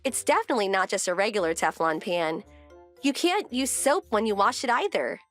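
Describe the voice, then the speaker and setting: A woman's voice: mid-range voice, very animated, a little fast, a bit bright, soft background music. A woman playing a composed, wry wife who narrates her domestic story in a scripted manga dub. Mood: calm.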